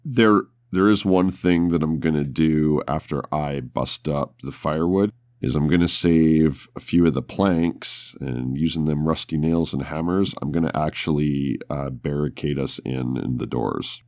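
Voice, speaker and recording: A man's voice: very deep voice, measured pace, few pauses, darkish tone, no echo, clean studio sound. A man playing a tabletop roleplaying game, casually talking through what his character will do.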